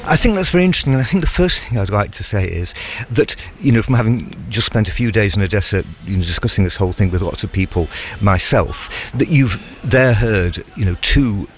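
A man's voice: fairly low pitch, expressive, brisk, slight background noise. A male reporter answering the host on a radio programme, thoughtful and filling with "you know". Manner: thoughtful, many fillers. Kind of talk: radio interview.

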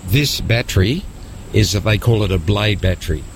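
An older man gives his opinions bluntly in a casual interview. His voice is fairly low and he speaks emphatically. A little background noise sits behind him. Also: normal speed. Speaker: local businessman, interviewee. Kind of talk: informal conversational interview.

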